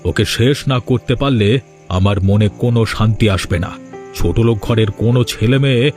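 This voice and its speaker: A man's voice: fairly low pitch, moderate pace, darkish tone, soft background music, close to an everyday voice. A man voicing an angry, ruthless village father in a cartoon, speaking in a menacing, insistent manner. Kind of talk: cartoon voice acting.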